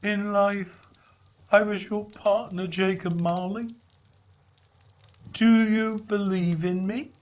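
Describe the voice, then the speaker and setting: A sorrowful storybook ghost of a dead businessman, acted by a male reader, pitched high and wailing. He draws his words out slowly and solemnly, full of regret. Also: fairly even intonation. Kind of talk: dramatic storybook reading.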